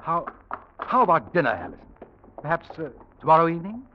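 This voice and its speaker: An actor playing a smooth, scheming young houseguest from England in an old-time radio drama, suave with a threat underneath. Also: male voice, on the high side, big pitch swings, fast. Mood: smooth, wooing. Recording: dull, noisy old radio recording.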